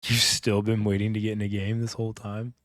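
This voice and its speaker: Deep-voiced male streamer, close and dry. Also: flat delivery, brisk, dry recording. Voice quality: naturally deep voice. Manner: rambling, casual slang and swearing. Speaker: livestreamer. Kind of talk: casual gaming chat. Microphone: close streaming mic, amplified.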